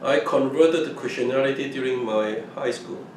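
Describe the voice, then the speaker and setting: A man's voice: medium pitch, normal speed, darkish tone. An older man from Hong Kong, whose first language is Fujianese, recalling his early life in an oral history interview, with many restarts and repeated words. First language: Fujianese (Minnan). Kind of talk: casual recollection. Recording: echoey room, mic at a distance, faint noise.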